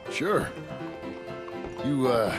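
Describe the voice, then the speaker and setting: An actor playing a weary, blunt frontier rancher in a western video game, gravelly and laconic. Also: male voice, medium pitch, sing-song, very slow, music in the background. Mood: dry, blunt. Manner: laconic, dry.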